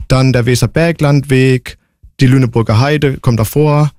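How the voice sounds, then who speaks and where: A man in his forties speaks as a guest in a casual interview. His voice sits at an average pitch and his answers are matter-of-fact and to the point. The sound is dry, without any room echo. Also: steady tone, normal speed, darkish tone. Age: 40s. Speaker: interview guest, long-distance hiker.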